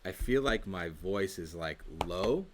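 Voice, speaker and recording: A young man co-hosting a church youth show, running a movie quiz in a relaxed, teasing, slangy way. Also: deep voice, takes it slowly, background noise. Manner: laid-back, teasing, slangy. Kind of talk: casual chat, quiz hosting.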